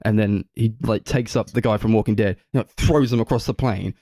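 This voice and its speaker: A man co-hosting a casual film review chat, rambling through animated retellings with mock quotes and swearing. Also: fairly low pitch, a little fast, dry recording. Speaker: podcast co-host.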